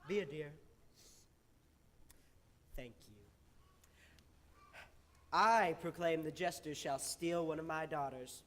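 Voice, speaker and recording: A man as a slow, pompous old king, echoing. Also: fairly high pitch, fairly bright tone. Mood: grand, absentminded. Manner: pompous, rambling. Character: doddering, pompous old king, fond of proclamations. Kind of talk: stage comedy fairy-tale acting. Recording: reverberant stage hall.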